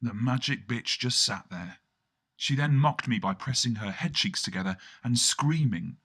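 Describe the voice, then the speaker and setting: Male storyteller, mid-pitched and sharp-toned, delivering a scripted comic first-person tale with acted-out dialogue. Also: animated, moderate pace, slightly rough. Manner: performs the dialogue of the characters within the telling. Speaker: storyteller and narrator.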